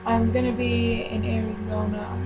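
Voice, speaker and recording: A woman's voice: fairly high pitch, little pitch movement, moderate pace, loud music behind the voice, rough recording. A young woman vlogger talking casually, rambling through her plans with many fillers. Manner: rambling, filler-heavy planning talk. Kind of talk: casual chat. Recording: mains hum behind voice.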